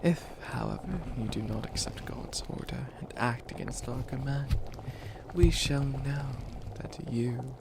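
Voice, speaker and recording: Man playing a slow, pompous evil witch villain. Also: medium pitch. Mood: grandiose, menacing. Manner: pompous, menacing declamation. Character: theatrical evil witch rehearsing grandiose villain monologues. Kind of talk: theatrical villain monologue in an ASMR roleplay. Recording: clear background ambience noise.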